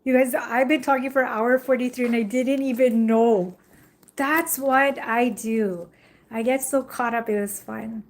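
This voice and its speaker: A talkative young woman chatting with her viewers on a live stream, recorded on the phone she holds in her hand. Her voice sits a little high, and she rambles easily, full of casual fillers. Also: fairly even intonation, a little slow. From Jamaica. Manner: chatty, rambling, frequent "like" and "you know". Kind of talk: casual live chat reading viewer comments. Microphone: phone held in hand.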